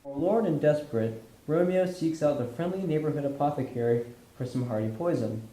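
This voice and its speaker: A man's voice: a little high, fairly quick, dark tone. A man giving scripted narration for an amateur puppet show. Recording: roomy echo, faint music.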